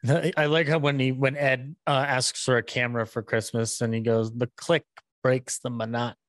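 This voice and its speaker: Dry, close-miked man chatting haltingly. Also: mid-range voice, flat delivery, fairly bright tone, no echo. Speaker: podcaster, panel host. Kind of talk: casual chat. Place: small room at home, on a video call. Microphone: close podcast microphone on boom arm.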